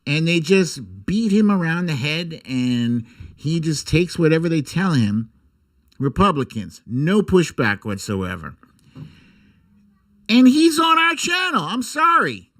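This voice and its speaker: A man hosting a comic political livestream, speaking with sarcastic, irreverent bite and theatrical, drawn-out emphasis. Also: sits high, sing-song, a bit bright. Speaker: host of a political humour livestream. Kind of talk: comic political commentary and mock storytime reading.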